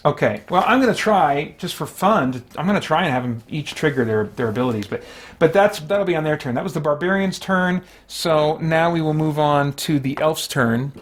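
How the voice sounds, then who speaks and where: A man with a slightly high voice hosts a solo tabletop game session. He gives casual running commentary, talking through each move out loud as he works out what to do. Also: expressive, moderate pace. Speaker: host of a solo tabletop gaming stream.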